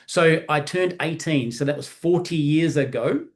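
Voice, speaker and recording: An Australian man in his fifties giving a motivational training talk in an animated way, often checking in with "right?". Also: a little high, moderate pace. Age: 50s. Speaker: sales trainer.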